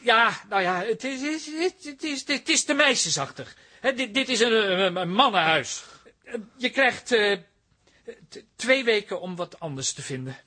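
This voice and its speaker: A man's voice: high-pitched, sing-song, normal speed, sharp tone, full character voice. An actor playing a fussy, uptight man in a radio play, agitated and whining, easily exasperated. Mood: nervous, flustered. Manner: agitated, whining, stammering when flustered.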